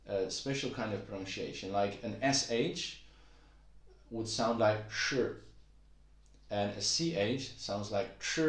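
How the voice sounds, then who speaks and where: Middle-aged male language tutor, low-pitched, patient, filler-heavy; roomy echo. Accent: Taiwanese-accented Mandarin. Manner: patient, repeats himself, many fillers. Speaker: Chinese language teacher. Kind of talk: casual video lesson. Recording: echoey room.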